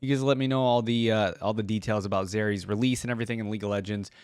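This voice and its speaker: A man's voice: lowish voice, fairly even intonation, rapid-fire, darkish tone, smooth, no echo, clean studio sound. A man hosting a reaction video, commenting casually and thinking aloud as he watches.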